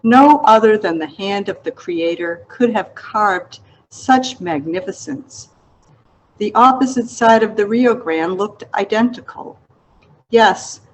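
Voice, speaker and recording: A woman reads from her own literary memoir at a slow pace. Her voice sits at an average pitch for a woman. The delivery is deliberate and reflective, as an author presenting an excerpt. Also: low-quality recording. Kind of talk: literary memoir narration, read aloud.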